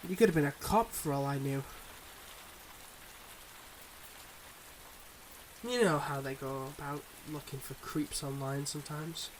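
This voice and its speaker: Man acting a higher-voiced, smooth mob boss boyfriend. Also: brisk, noisy background, natural voice. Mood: confiding, teasing. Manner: smooth, confiding, teasing, speaking to a listener. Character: suave, secretive young male mob boss, devoted online boyfriend. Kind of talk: intimate ASMR boyfriend roleplay.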